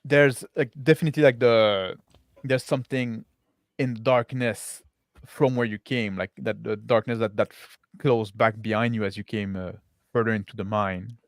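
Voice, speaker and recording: A man improvising narration as game master of a tabletop roleplaying game, in a halting, repetitive way. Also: on the low side, fairly even intonation, no room sound, studio quality.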